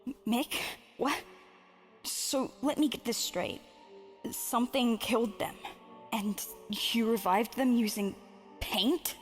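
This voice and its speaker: Male cartoon rabbit acted by a woman, serious and questioning, slightly high, music bed. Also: slow pace, long pauses, long reverb tail. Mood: disbelieving. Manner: incredulous, questioning. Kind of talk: acted character dialogue in fanfic reading. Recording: music under the voice.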